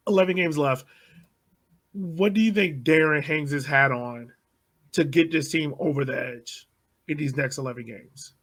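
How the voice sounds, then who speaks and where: A man co-hosting a casual sports podcast, easygoing, asking the others questions and pushing back gently. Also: a little high, poor audio. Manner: easygoing, leads with questions, measured pushback. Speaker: podcast co-host.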